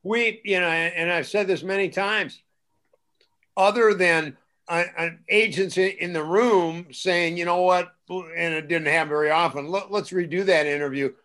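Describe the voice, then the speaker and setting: A man with a notably high speaking voice chats casually on a podcast. He tells old stories with animation, often doubling up words as he gets going. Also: fairly even intonation, moderate pace, fairly bright tone. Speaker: co-host, former wrestling interviewer.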